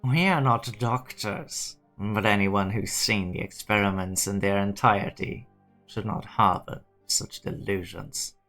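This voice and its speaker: A man playing a cold, logical, condescending scientist in a fan dub, with a measured, cynical manner. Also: lowish voice, fairly bright tone, soft background music. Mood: skeptical. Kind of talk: dramatic fan-dub voice acting.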